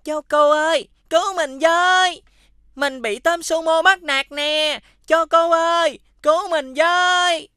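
A woman's voice: extremely high pitch, slow pace, pushed and bright, no room sound, studio quality, exaggerated voice. A woman with a Southern Vietnamese accent voicing a clever, playful child in a children's cartoon. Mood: mock distress.

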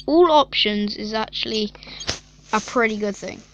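A woman's voice: medium pitch, measured pace, fairly bright tone, a little hiss or hum, poor audio. A young female gaming YouTuber chatting casually and rambling through a mobile game, with lots of fillers and giggles.

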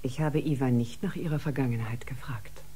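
An actress playing a composed, caring ship doctor in a radio drama, speaking in a measured, diplomatic way. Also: female voice, rumbling low, warm tone, a little hiss or hum. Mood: composed, persuasive. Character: composed, caring female ship doctor and scientist, tactfully persuasive.

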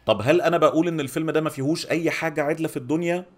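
A man's voice: fairly high pitch, rapid-fire. A middle-aged man with an Egyptian accent giving an opinionated film review to camera, speaking close to a microphone in a small home studio. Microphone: microphone on a boom arm, close up.

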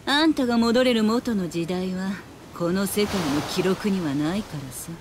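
A woman voicing a brash, menacing rock-guitarist witch in a video game, with rude, scornful, rough speech. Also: medium pitch, moderate pace, close to an everyday voice. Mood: cold, scornful. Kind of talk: anime video game voice acting. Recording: noisy game ambience under voice.

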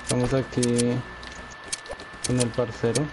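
A man playing a shooter game as a teammate, making relaxed callouts and greeting viewers on a live stream. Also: medium pitch, unhurried. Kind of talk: live gameplay chat. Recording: heavy game noise and gunfire.

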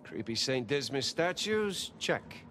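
A man's voice: mid-range voice, sing-song, slow pace, a bit bright, slight background noise, full character voice. An actor playing an eager, curious male treasure hunter in a video game cutscene, full of questions.

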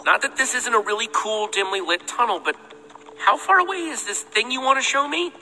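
An actor playing a young, earnest and protective adventurer in a video game, excitable in manner. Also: male voice, very high-pitched, a little fast, slight background noise, heavily acted. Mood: wry. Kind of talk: animated video game voice acting. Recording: game music behind the voice.

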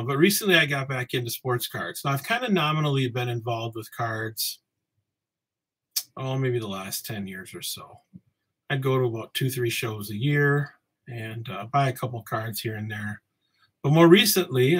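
Samoa-born man in his forties, solo podcast host, husky mid-pitched voice, loose rambling delivery at a home desk webcam. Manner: relaxed, rambling, many ums and uhs. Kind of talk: casual solo podcast talk. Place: home office with bookshelves. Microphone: webcam framing, seated at desk.

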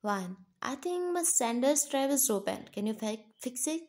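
A woman's voice: sits high, lively intonation, moderate pace, a little mellow. Woman doing a sassy, fiery young wife. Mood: coy.

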